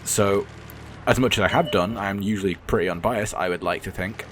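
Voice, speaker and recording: A man with a croaky, hoarse voice, giving rambling, blunt gameplay commentary and often correcting himself. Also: on the low side, brisk, a bit bright, a little hiss or hum. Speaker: gaming commentator. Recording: game sounds clearly behind the voice.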